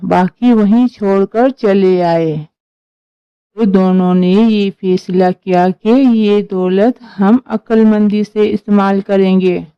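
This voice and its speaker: A woman's voice: mid-range voice, clear, resonant tone, no room sound, dull audio. A woman narrating a scripted story as a storyteller.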